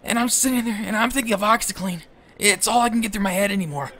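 A young man playing a high-strung, sarcastic, foul-mouthed teenage schoolboy in a comedic audio drama, rambling and sardonic. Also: fast, sharp tone. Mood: frantic, anxious.

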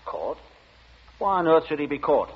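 Husky, deadpan radio director. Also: male voice, a little high, normal speed. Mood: droll, deadpan. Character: droll, unhurried, stubborn radio play director. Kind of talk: 1940s radio acting. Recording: muffled, reverberant 1940 radio recording.

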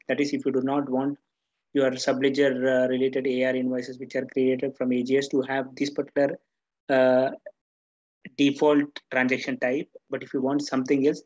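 Monotone man explaining software, dull audio. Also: mid-range voice. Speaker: software tutorial instructor. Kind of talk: screen-share tutorial, unscripted step-by-step explanation.

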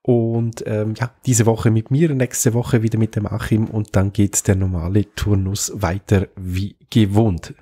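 A man presents tech news on a podcast in a low, mellow voice. He sounds nasal and congested, as with a blocked nose. His delivery is husky and informal, rambling along conversationally. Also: flat delivery, unhurried, few pauses. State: blocked nose from pollen allergy, lingering cough. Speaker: podcast host.

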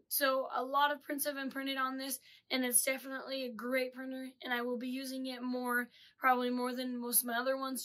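Slightly hoarse young woman, bright voice. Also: fairly high pitch. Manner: chatty, many ums and uhs. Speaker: 3D printing reviewer. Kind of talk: casual product review. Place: small indoor room with 3D printers. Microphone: studio mic with pop filter.

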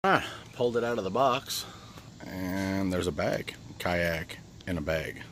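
A man's voice: deep voice, animated, a little slow, fairly bright tone, a little hiss or hum. A man talking informally and thinking aloud as he gives a casual hands-on product review outdoors on a handheld camera. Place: outdoors on sandy ground at a campsite. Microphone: handheld camera held by the speaker.

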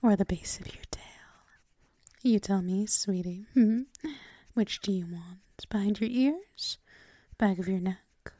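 A woman performing intimate ASMR roleplay as a gentle, teasing, doting dominant girlfriend, often whispering in a soothing, affectionate murmur close to the microphone. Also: mid-range voice, slow pace, long pauses, no echo.

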